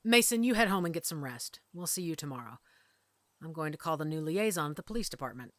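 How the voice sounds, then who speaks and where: A woman playing a calm, protective werewolf mother who leads her pack in an audio drama, speaking with authority. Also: deep voice, fast, dry recording, clean studio sound. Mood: calm, commanding. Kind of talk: audio roleplay acting.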